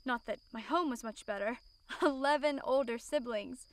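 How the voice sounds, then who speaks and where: A woman's voice: a little high, normal speed, a little hiss or hum, close to an everyday voice. A woman playing a lonely, courteous young royal outsider in an amateur audio drama, speaking earnestly and formally. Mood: wistful.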